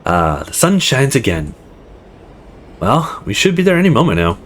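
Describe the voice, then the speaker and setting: A man's voice: medium pitch, wildly swooping pitch, normal speed, a bit bright. A man voicing a boastful young wannabe ladies' man in a visual novel, pompous and theatrical. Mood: cheerful.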